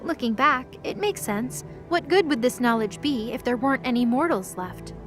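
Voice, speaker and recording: A woman's voice: sits high, expressive, normal speed, fairly bright tone, faint music behind. A woman narrator reading scripted third-person narration for an audio drama.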